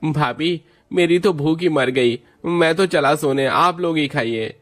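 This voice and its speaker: A man's voice: a little high, unhurried, voice pushed up, close to an everyday voice. A man voicing a frustrated young office worker who complains to his family in a moral story. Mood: fed up. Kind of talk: animated moral story dialogue.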